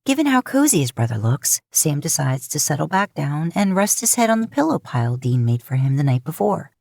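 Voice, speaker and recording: A woman reading scripted fiction as a narrator, with measured storytelling delivery. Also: deep voice, very animated, gravelly, darkish tone, no echo, studio quality. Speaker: podfic narrator.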